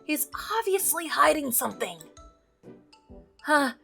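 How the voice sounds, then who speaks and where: A woman's voice: high voice, expressive, unhurried, creaky, sharp tone, faint music behind. A woman playing a visual novel, reading it aloud and reacting expressively, exclaiming and laughing at the story. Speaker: let's play gamer.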